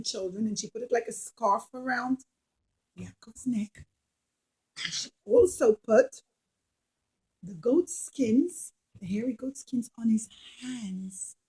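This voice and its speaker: A woman's voice: fairly high pitch, mellow, rough recording. A woman telling a story to young children as a puppeteer, explaining and repeating, often checking understanding with little questions.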